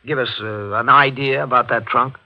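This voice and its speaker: An actor playing a probing, matter-of-fact district attorney in a 1940s radio mystery, with clipped, businesslike questioning. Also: male voice, mid-range voice, steady tone, moderate pace, highs cut off, natural voice. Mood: pressing, suspicious. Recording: band-limited 1940s radio recording with organ music.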